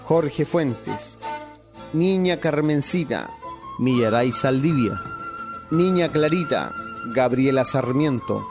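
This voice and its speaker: A man's voice: medium pitch, animated, takes it slowly. A man reading scripted radio drama credits as an announcer. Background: music with flute.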